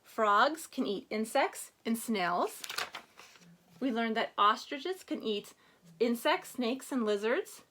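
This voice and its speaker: A woman teacher giving a read-aloud reading lesson to children, instructing with questions, prompts and deliberate emphasis on key words. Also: on the high side, lively intonation, a bit bright, a little hiss or hum. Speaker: elementary school teacher.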